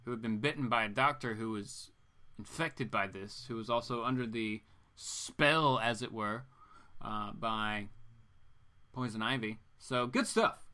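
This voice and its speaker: A man's voice: medium pitch, measured pace, sharp tone. A man hosting in a casual, slangy way, recapping and summing up a story in informal talk. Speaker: comics channel host. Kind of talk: casual spoken story summary.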